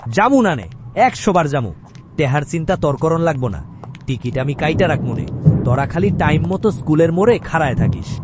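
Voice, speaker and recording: Casting: man as fearless schoolboy in horror drama, dismissive and brash, Bangladeshi Bengali dialect, lightly high voice, noisy recording. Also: sing-song, a little fast. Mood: boastful. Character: cocky fearless mischievous schoolboy, scoffing ringleader. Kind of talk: acted audio horror story dialogue. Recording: noisy, traffic rumble behind.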